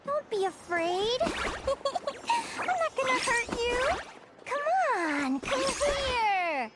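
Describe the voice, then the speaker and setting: A woman's voice: very high voice, sing-song, extremely slow, exaggerated voice. A woman voicing a bubbly, curious young female guild advisor in a video game, with a light girlish voice and a chirpy, gushing manner. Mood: gushing, playful.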